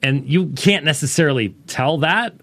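A man's voice: on the high side, moderate pace, a bit bright. A man hosting a religious call-in podcast, talking casually and unscripted in a digressive, wry way. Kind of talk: casual unscripted talk show monologue.

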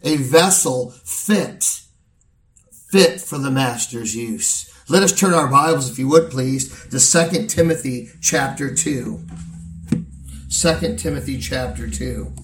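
A man preaching a sermon as pastor, his voice hoarse and gravelly, speaking in an earnest, measured way with pauses. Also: mid-range voice, a bit bright. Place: church sanctuary. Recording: roomy sound from a distant mic.

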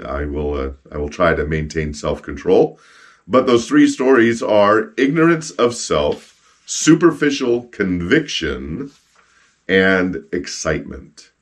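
A man with a rough, froggy voice from a cold hosts a podcast, chatting casually with joking asides and reading stories aloud. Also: sits low. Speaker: podcast host and street evangelist.

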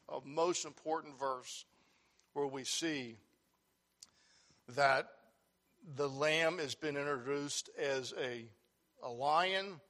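Man, preacher, Bible sermon, loud earnest voice, slightly high pitch. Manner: loud voice, earnest, reads scripture aloud.

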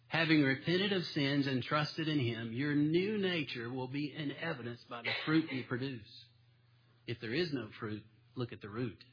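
A man's voice: mid-range voice, slightly rough, highs cut off. A man preaching a sermon in a church, folksy and self-deprecating, with homespun stories and plain illustrations. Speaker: pastor. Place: church sanctuary.

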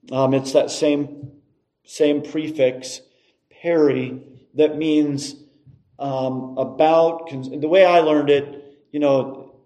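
A man's voice: on the high side, dark tone. A middle-aged man preaching an expository sermon, emphatically repeating key phrases as he goes.

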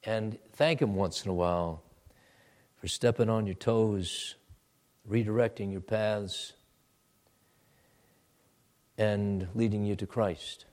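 A man preaching a sermon and leading a prayer, moving from quiet low asides to loud, high exhortation. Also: fairly even intonation. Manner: deliberate, drawn-out preaching delivery. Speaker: pastor.

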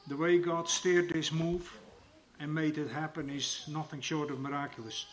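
A man's voice: on the high side, little pitch movement, normal speed. Older man reading a church testimony. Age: around 70. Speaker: church member giving a baptism testimony.

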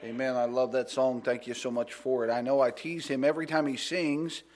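A man pastor preaching a sermon in a church sanctuary, reading scripture and praying, at times hushed and at times rising with fervor. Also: fairly even intonation, a little fast. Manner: builds to loud, high-pitched preaching fervor.

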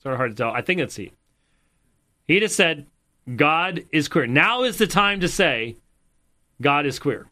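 Bright, emphatic male commentator. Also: fairly high pitch, very animated, unhurried, pauses often. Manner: emphatic, repeats his points. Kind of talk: commentary on video clips.

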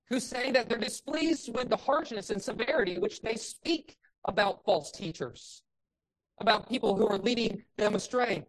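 A woman pastor preaching a sermon with a loud voice, building passionately to emphatic peaks. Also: fairly high pitch, low-quality recording.

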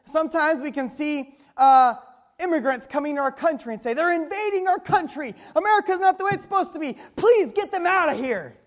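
A woman preaching a sermon, reading scripture slowly and asking the listeners to fill in the words. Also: sits high, lively intonation. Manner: call-and-response questions, reading scripture slowly.

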